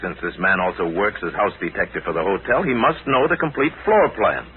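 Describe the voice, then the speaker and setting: An American actor playing a calm, commanding pulp hero sleuth, asking measured, polite but firm questions in 1940s radio style. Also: male voice, low voice, husky, phone-quality sound, exaggerated voice. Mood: brisk, commanding. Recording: band-limited, hissy 1940s radio recording.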